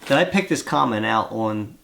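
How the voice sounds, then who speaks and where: A man's voice: lowish voice, animated, moderate pace, gravelly, noisy background. A man with a cold, congested and coughing now and then, chatting casually on his vlog and rambling from one thought to the next. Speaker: vlogger reading fan mail. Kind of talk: casual chat.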